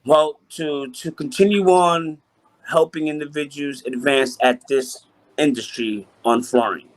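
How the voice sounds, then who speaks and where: A man's voice: fairly high pitch, steady tone, measured pace, rough recording. A man from Puerto Rico, a hotel chief engineer, talking as a guest over a video call, often repeating himself and restarting sentences. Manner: repeats phrases, frequent restarts. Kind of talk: casual interview chat.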